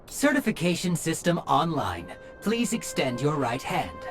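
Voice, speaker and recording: A cold machine intelligence from a video game, speaks in a middle register over clear background music. It delivers clipped, impersonal status announcements. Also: mid-range voice, measured pace. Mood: impassive. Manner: flat, clipped system announcements. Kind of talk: game voice acting. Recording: music behind.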